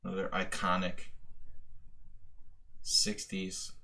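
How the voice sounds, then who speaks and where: A man with a fairly low voice chats through a live chess stream. He muses on his moves out loud, answers viewers and mock-scolds his opponent along the way. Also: monotone, slow pace, gravelly. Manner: chatty, talks to chat and opponent while thinking moves. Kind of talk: casual live-stream commentary.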